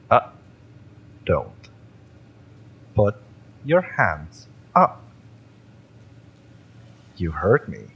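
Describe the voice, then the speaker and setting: Male actor for cocky, fiery mercenary in audio roleplay: deep, very slow, taunting and smirking. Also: fairly bright tone, a little hiss or hum, exaggerated voice, low-quality recording. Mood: menacing, commanding. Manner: smirking, taunting, drawn-out delivery with chuckles. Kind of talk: acted audio roleplay monologue.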